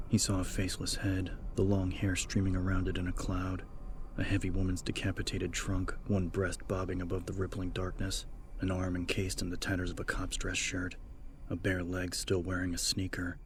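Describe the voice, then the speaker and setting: A man's voice: low-pitched, slight background noise. A man reading scripted horror fiction as a dramatic audiobook narrator.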